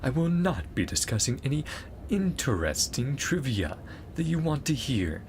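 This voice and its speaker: A man's voice: medium pitch, big pitch swings, normal speed, a little mellow, a little hiss or hum, pitched above the performer's own voice. A man voicing a pedantic, imperious male professor from a game, formal and exacting as he lectures. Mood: dry, lecturing.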